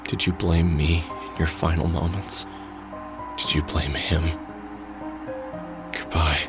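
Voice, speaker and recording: A man's voice: very deep voice, flat delivery, takes it slowly, over music. An actor playing a weary, sardonic middle-aged man who broods and mutters to himself in a video game. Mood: grieving, subdued. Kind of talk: video game voice acting, brooding inner monologue and dialogue.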